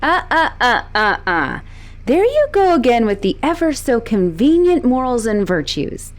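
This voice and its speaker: Woman playing an unhinged, possessive girlfriend, wildly expressive. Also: a little high, measured pace. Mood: irritated, scolding. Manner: teasing, possessive, expressive, swinging between sweet and exasperated. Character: obsessive, manipulative young woman, an asylum escapee and kidnapper. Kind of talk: dramatic roleplay audio monologue addressed to the listener.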